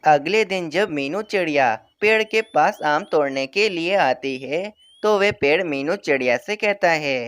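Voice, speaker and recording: A woman narrating a children's cartoon story in a plain, steady manner. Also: deep voice, unhurried, few pauses, no echo.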